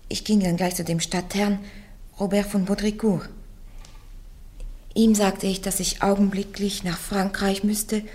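A woman's voice: mid-range voice, darkish tone, lightly acted. An actress playing a blunt, fearless young peasant woman on trial in a radio play. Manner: blunt, defiant, plain-spoken.

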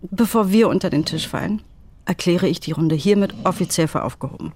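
An actress in a German radio drama playing a wry, frank young woman with a husky voice. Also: fairly low pitch, brisk, darkish tone, slight background noise, natural voice. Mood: wry, relaxed. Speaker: actor in a radio play.

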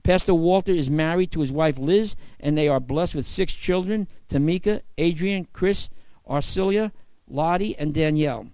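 A man from the church introducing a guest speaker in an earnest, measured read. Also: on the high side, a little mellow, no room sound.

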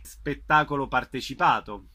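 A man's voice: medium pitch, normal speed, bright, forceful tone. A man hosting a radio interview, introducing guests and putting questions to them.

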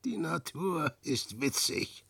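An actor playing a weary, self-mocking old adventurer, muttering theatrically to himself in verse in a 1960s radio drama. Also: male voice, on the high side, sing-song, takes it slowly, gravelly, a bit bright, husky, dry recording, heavily acted. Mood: despairing, bitter.

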